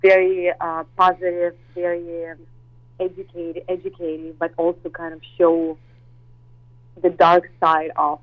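A woman's voice: fairly low pitch, level tone, unhurried, no echo, dull audio. A woman, a guest actress and model, talking hesitantly in an interview with many fillers and restarts. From Russia. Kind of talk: interview chat.

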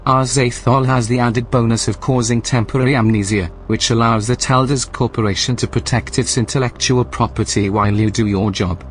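An actor playing a formal, measured male artificial intelligence in a science-fiction audio drama. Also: mid-range voice, fairly even intonation, slight background noise, close to an everyday voice. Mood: calm, apologetic. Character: calm, clinical male artificial intelligence running a research station.

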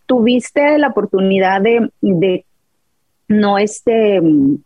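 A woman's voice: mid-range voice, animated, takes it slowly, dark tone, no echo. A woman from rural Mexico, a health coach guest, speaking hesitantly and searching for words. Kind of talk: casual chat.

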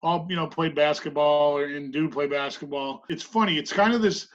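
A woman's voice: low voice, normal speed. A middle-aged woman, a basketball coach from Massachusetts, talking thoughtfully as a podcast guest with frequent fillers and easy laughs. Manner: thoughtful, lots of "you know" fillers, warm laughs. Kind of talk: casual podcast conversation. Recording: dull lo-fi sound.

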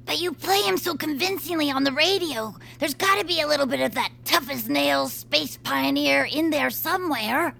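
Brief: a plotting superfan commander, high lively voice with heavy gravel. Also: female voice, normal speed, hardly any pauses, full character voice. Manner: eager, persuasive, scheming. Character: eager young female self-styled space commander, scheming superfan. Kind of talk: audio drama acting.

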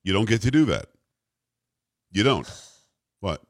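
A man's voice: fairly low pitch, big pitch swings, a little slow, dry recording. A man hosting a talk radio show, speaking bluntly and emphatically, and reading ads live from the studio.

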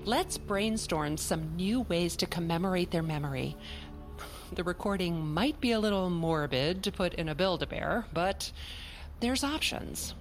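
An actress playing a primly positive, insistent therapist in an audio drama, measured and clinical as she coaxes. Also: fairly low pitch, expressive, soft background music. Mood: reassuring. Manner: clinical, coaxing, deliberate. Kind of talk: naturalistic audio drama acting.

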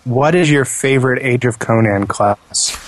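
A man's voice: mid-range voice, unhurried, dry recording. A man co-hosting a podcast in casual chat, with a dry, tongue-in-cheek manner. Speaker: podcast co-host.

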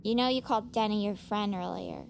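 A woman's voice: medium pitch, brisk, vocal fry, a little hiss or hum, close to an everyday voice. A woman playing a young woman who is dry, teasing and wryly friendly in an audio drama. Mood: probing.

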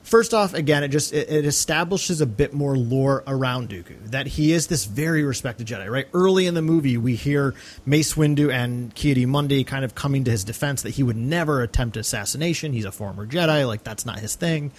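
A man with a mid-pitched voice co-hosts a film podcast. He talks casually and enthusiastically, leaning on key words for emphasis. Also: brisk, hardly any pauses. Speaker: podcast co-host.

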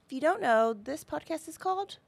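A woman's voice: high-pitched, animated, brisk, vocal fry, dry recording. A woman chatting informally and casually as a podcast co-host. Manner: chatty, informal, uses y'all.

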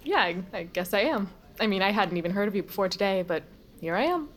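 A young woman's medium-pitched voice from an actress in an audio drama. She is an awkward college student, halting and casual, with a tactless bluntness. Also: lively intonation, slight background noise, close to an everyday voice. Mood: awkward, polite. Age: young adult.

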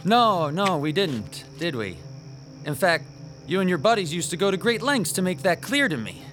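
A bullied weakling turned vengeful master in radio horror. His voice is high for a man and swoops expressively, bitter and full of taunting sarcasm. Music sounds clearly behind him. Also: very animated, normal speed, a bit bright. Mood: falsely friendly, bitter. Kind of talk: radio horror drama acting.

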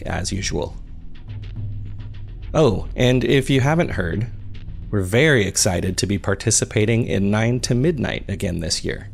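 A man hosting a podcast, reading scripted credits and announcements in a measured, informal way. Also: fairly low pitch, creaky. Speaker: podcast host.

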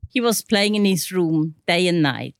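An older South African woman with a slightly low voice. She recalls family memories in a direct, matter-of-fact manner, and the recording is close and dry. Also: animated, a little slow, gravelly, dry recording. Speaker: musician's mother, interview guest. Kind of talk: casual reminiscing.

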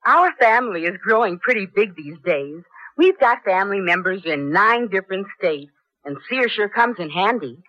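A woman's voice: medium pitch, expressive, moderate pace, fairly bright tone, no echo. A middle-aged woman giving a scripted testimonial in a 1970s radio commercial. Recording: dull lo-fi radio broadcast.

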